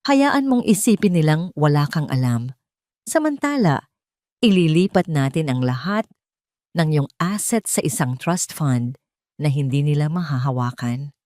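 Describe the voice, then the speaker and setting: A woman acts out an earnest male lawyer in an audio drama. The delivery is measured, formal and authoritative, in a lowish female register with a close, dry sound. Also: lively intonation, darkish tone, dry recording. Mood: calm, reassuring. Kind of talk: Tagalog audio drama dialogue.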